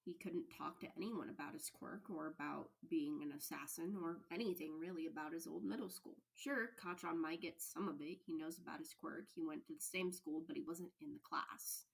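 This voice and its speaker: Lower-voiced woman narrating a story. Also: vocal fry, husky, low-quality recording. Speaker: fan fiction podfic reader.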